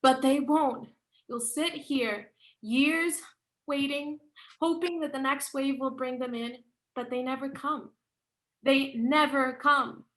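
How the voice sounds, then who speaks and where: A woman's voice: high voice, steady tone, takes it slowly, a bit bright, low-quality recording. A woman acting an anguished, volatile author in a stage play reading, impassioned and pleading, repeating her words with emphasis. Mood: harsh, despairing.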